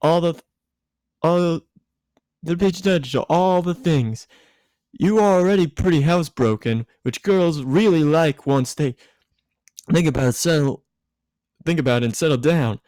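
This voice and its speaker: A man's voice: on the high side, normal speed, long pauses, a little mellow, no echo, voice pushed up, natural voice. A man voicing a tipsy, giggly, bossy woman handing out rambling, emphatic love advice in a fan fiction reading. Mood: tipsy, insistent.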